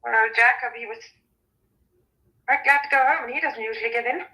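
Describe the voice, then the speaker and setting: An actress playing an anxious, hospitable daughter caring for her sick father in a radio drama, speaking in halting, hesitant phrases. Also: a little high, pushed and bright, rough recording. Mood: uneasy, practical. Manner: hesitant, halting speech with repeated words.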